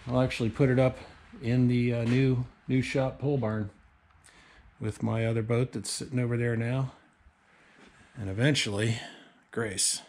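A middle-aged man casually narrating boat maintenance in his garage and woodshop, relaxed and thinking aloud with a few pauses, picked up by a camera mic a little way off. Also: mid-range voice, a little hiss or hum. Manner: relaxed, explains as he works, some hesitations. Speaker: boat builder and vlogger.

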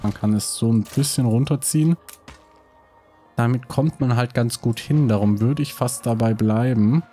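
Man, has a cold, mellow tone, hesitant let's play chatter. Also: mid-range voice, moderate pace, soft background music. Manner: thinking aloud, many hesitations. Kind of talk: casual gameplay commentary.

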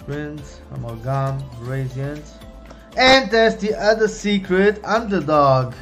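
Young man slowly narrating card pack openings. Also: faint music behind. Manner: chatty, excited on big pulls, low and let down on misses. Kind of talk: casual running commentary while opening trading card packs. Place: home room, indoors.

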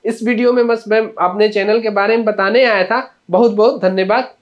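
Man, middle-aged, high-pitched, earnest trainer, gesturing while explaining, stumbles and restarts. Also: fairly even intonation, moderate pace, highs cut off, slight background noise. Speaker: repair-course trainer presenting his channel. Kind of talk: channel introduction to camera. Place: indoor room, standing before a large display screen.

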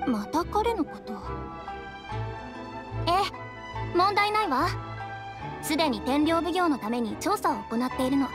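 An actress playing a cool, shrewd young detective in an anime game, confident and businesslike. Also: female voice, high voice, lively intonation, measured pace, long pauses, music in the background.

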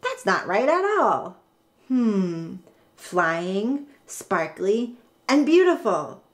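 Woman voicing an eager, animated inventive girl. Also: mid-range voice, extremely slow. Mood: excited, determined. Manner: exclamatory, emphatic, thinking aloud. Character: eager, inventive young girl, dramatic and determined.

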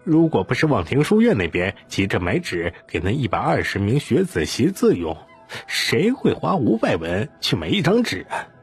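A man's voice: mid-range voice, wildly swooping pitch, normal speed, breathy edge, faint music behind, full character voice. A man voicing a puzzled, doubtful royal in an audiobook, speaking in questioning exclamations.

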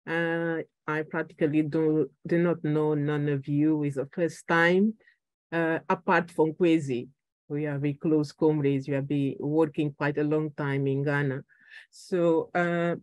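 A middle-aged woman from Angola, a native Portuguese speaker, gives a political talk on a video call, halting and searching for words. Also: low-pitched, a little mellow, no room sound. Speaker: political party speaker at a conference. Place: room at home.

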